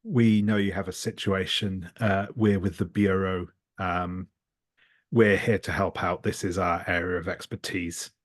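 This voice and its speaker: A man's voice: low voice, steady tone, a little slow, no room sound. A man chatting casually as a tabletop game player, improvising his part a little hesitantly. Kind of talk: casual chat, improvised role-play.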